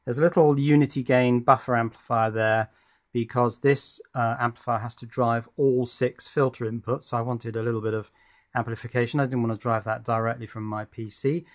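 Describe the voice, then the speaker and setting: A man's voice: mid-range voice, fairly even intonation, brisk. A man presenting an informal hands-on electronics demonstration in a matter-of-fact, explanatory way.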